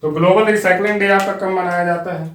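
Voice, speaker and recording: Man, Indian accent, teacher, repetitive drilling, fairly high voice, echoey room. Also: steady tone, fast talker, a bit bright, slight background noise. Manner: repetitive, drilling facts, addresses students directly. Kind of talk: exam-prep lecture.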